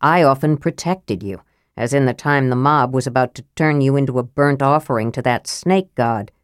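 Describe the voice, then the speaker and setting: A woman reading an audiobook, voicing an honorable young male knight who speaks quietly and gravely, in a measured way. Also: a bass voice, dry recording, studio quality, voice pushed down. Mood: quiet, reproachful. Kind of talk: audiobook character voice.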